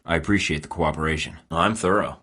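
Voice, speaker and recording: A man's voice: rumbling low, brisk, a bit bright, slightly rough, exaggerated voice. An actor playing a cool, sardonic male secret agent in a video game, with a dry, clipped delivery. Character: cool, wry male American spy in his 30s.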